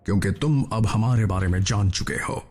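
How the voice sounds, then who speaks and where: Robot hero leader played by a man: lowish, calm, grave. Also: a little fast, close to an everyday voice. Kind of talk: Hindi cartoon dub.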